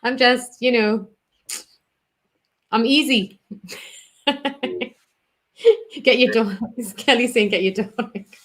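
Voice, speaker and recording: A woman's voice: fairly high pitch, steady tone, takes it slowly, long pauses, fairly bright tone. A woman from England with a mixed English and Scottish accent, a guest chatting casually and laughing often. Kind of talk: casual chat.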